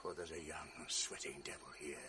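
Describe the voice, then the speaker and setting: An actor playing a jealous noble general in a radio drama, grave and commanding with a resonant stage delivery. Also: male voice, extremely low pitch, steady tone, normal speed, pushed and bright, a little hiss or hum. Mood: brooding. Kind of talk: 1980s radio drama acting.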